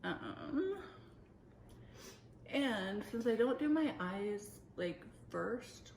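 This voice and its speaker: A young adult woman chatting casually while doing her makeup, relaxed and joking. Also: mid-range voice, creaky. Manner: relaxed, joking, drawn-out speech. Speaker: makeup vlogger. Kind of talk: casual makeup tutorial chat. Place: indoor room at a makeup table. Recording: echoey room with faint noise.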